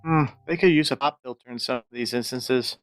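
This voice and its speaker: Male co-commentator, casual, mid pitch, dry recording, soft music behind. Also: a little fast, no echo.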